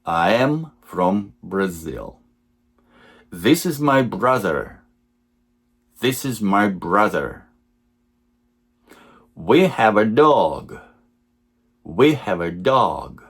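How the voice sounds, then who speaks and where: A man teaching English, reading simple example sentences slowly and repeating each one for learners. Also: lowish voice, husky. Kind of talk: slow lesson reading example sentences twice.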